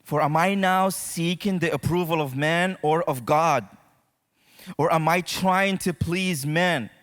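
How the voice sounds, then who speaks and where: Man, preacher, somewhat high-pitched, conversational, direct address to congregation, long hall reverb. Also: a bit bright. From Morocco. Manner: conversational, addresses listeners directly with check-in questions. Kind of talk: sermon to a congregation. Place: church auditorium, speaking to a congregation.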